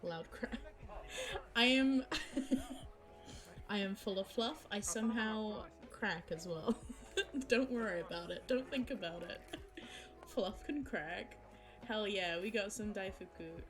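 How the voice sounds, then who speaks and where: A young woman streamer chatting casually with viewers, giggling and teasing herself, her voice a little low for a woman and her throat sore. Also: slow pace, pauses often. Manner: chatty, giggly, self-mocking. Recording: music playing behind the voice.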